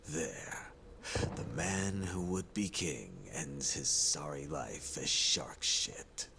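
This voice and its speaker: An actor playing a grim, world-weary tough guy in a video game, telling things in a measured, folksy, sardonic way. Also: very deep voice, lively intonation, vocal fry, background noise, exaggerated voice. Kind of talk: video game cutscene acting. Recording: music behind the voice.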